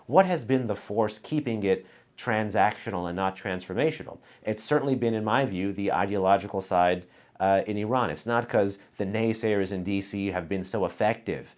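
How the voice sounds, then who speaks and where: A man hosting a panel discussion who makes dense, argumentative points and adds quick footnotes. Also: fairly low pitch. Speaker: host moderating a panel.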